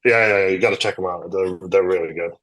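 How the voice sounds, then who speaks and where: A man's voice: low-pitched, fairly even intonation, fast talker, a bit bright. A Norwegian man, a guitarist guest with a Norwegian accent, chatting casually and rambling with many fillers and restarts. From Norway. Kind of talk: casual chat.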